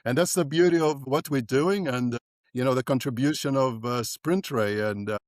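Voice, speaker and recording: An older man from South Africa speaks as a dentist guest on a podcast, animated and fond of checking in with "right?". His voice sits at a middle pitch. The sound is dry and close. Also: normal speed, dry recording. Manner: animated, repeats "right?" often. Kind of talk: podcast interview.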